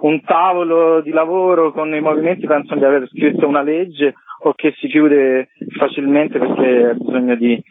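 A man's voice: on the high side, steady tone, normal speed, few pauses. A man speaking off the cuff as an interview guest, with an opinionated, emphatic delivery. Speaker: guest, performing arts activist. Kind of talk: spontaneous interview talk. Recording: narrow phone line, roomy echo.

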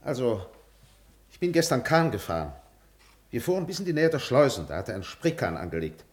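An actor playing an earnest, long-winded gentleman doctor in a radio play, stiffly polite and easily offended. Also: medium pitch, sing-song, fairly quick, lightly acted. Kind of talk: German radio play acting.

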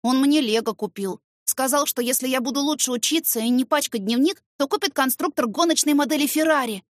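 Woman voicing an earnest, high-pitched little boy. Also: fairly quick, dry recording, clean studio sound, natural voice. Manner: earnest, childlike complaining. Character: energetic young red-haired son, loud, sulky, candid.

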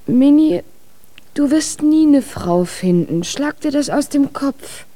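A woman's voice: high-pitched, lively intonation, slow, warm tone, a little hiss or hum, rough recording. An actress playing a frank, teasing, pitying young woman in a German children's radio play. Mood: pitying, dismissive. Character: frank young woman, kindly but dismissive friend.

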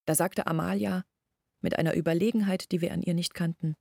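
An embittered young village woman in an intimate literary radio play. Her voice is fairly low and gravelly, recorded very dry and close. She confides her family's shame earnestly, with measured phrasing. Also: steady tone, darkish tone, no room sound. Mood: reflective, recounting. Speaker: radio drama actor.

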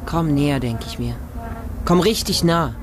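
A man's voice: fairly high pitch, expressive, normal speed, background noise, natural voice. A man playing a watchful, trusting homeless boy in a radio drama monologue, speaking in simple, childlike short sentences. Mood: tense, defiant. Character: homeless street boy living with a pack of dogs, watchful, trusting, defiant.

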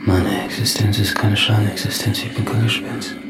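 An actor playing a weary, resigned last man alive in a halting, introspective radio drama monologue, self-mocking and solitary. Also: lowish voice, little pitch movement, natural voice. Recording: roomy sound under loud music.

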